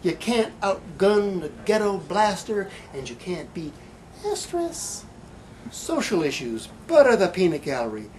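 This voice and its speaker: Slow, halting male poet, noisy recording. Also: high voice, very animated, darkish tone. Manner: halting, deliberate delivery with dramatic pauses. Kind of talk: live poetry reading with casual introductions. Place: bookstore reading event, indoors. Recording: noisy amateur live event recording.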